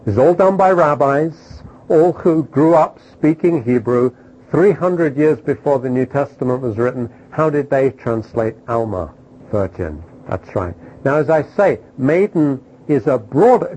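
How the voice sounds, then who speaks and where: A man's voice: fairly high pitch, mellow, husky, poor audio. A man teaching a Bible class in an emphatic way, often asking his listeners questions.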